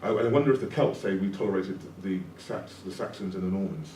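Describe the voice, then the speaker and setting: A man's voice: low voice, fast talker, warm tone, a little hiss or hum. A British-born man hosting an academic conversation, thinking aloud and often repeating words as he works through an idea. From Britain. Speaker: academic host. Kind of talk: conversational discussion. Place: room at a public talk event. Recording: roomy, distant mic, faint ambience.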